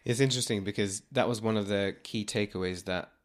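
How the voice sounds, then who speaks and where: A man with a fairly low voice hosts a conversation. He listens with short "yeah"s and then reflects calmly on what he heard, tying it to his own family's history. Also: a little fast. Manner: calm, reflective, short affirmations. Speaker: podcast host. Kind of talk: interview.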